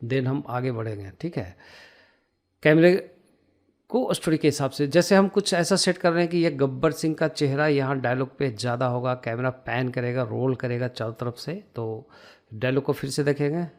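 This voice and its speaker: An Indian man teaches software in a casual, step-by-step way. His mid-pitched voice pauses often as he thinks aloud, like someone recording his screen while he works. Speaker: tutorial instructor.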